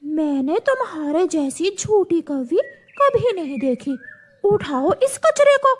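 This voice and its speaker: A woman's voice: very high-pitched, very animated, slow pace, full character voice. A woman voicing a big, bossy female eagle in a children's cartoon, stern, protective and questioning. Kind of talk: children's cartoon story dub.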